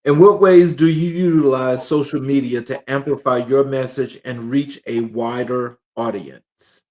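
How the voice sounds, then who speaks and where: A man hosting an interview, asking questions and filling pauses with hesitant fillers. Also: medium pitch, steady tone, a little mellow. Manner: brief backchannels, hesitant fillers. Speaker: interviewer, host. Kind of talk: conversational interview.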